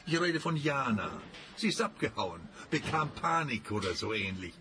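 A man's voice: mid-range voice, big pitch swings, normal speed, background noise, natural voice. A man playing a flustered, grumbling older innkeeper in a radio play, exclamatory and put-upon. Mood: distressed.

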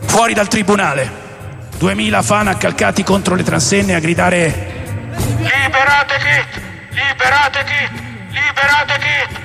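A man narrating a scripted radio drama with a gravelly voice. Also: fairly high pitch, fairly bright tone, in a large hall.

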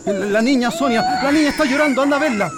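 A Chilean man playing a protective husband and father in a radio drama, trying to keep calm, hesitant and stammering under pressure. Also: very high voice, fast, very noisy. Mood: frightened. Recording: radio drama with loud music behind.

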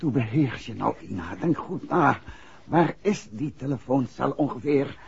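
A man's voice: medium pitch, expressive, unhurried, vocal fry. An actor in a 1960s Dutch radio play playing a worried, tender husband and amateur detective who speaks affectionately to his wife. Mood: urgent, anxious. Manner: affectionate, calls his wife pet names. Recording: dull, muffled old radio recording.

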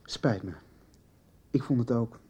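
A man's voice: mid-range voice, slow pace, mellow, close to an everyday voice. An actor playing an earnest, anxious young man in a radio soap, plain-spoken and serious. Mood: concerned, apologetic. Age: young adult. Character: earnest, anxious young man worried about missing friend. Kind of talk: 1990s radio soap acting.

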